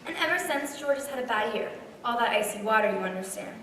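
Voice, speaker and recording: A commanding senior angel acted by a woman in a radio play. Her voice is bright, fairly high and brisk, caught from a distance with heavy echo. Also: animated, slight background noise. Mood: brisk, authoritative. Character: brisk, authoritative female superintendent of the angels. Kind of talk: old-time radio play acting. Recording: very echoey stage from far off.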